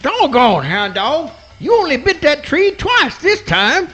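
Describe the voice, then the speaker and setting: A performer playing a gentle, timid male possum with innocent, folksy Southern swamp dialect in a live comic radio play. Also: high voice, sing-song, measured pace, soft background music, heavily acted. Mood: concerned.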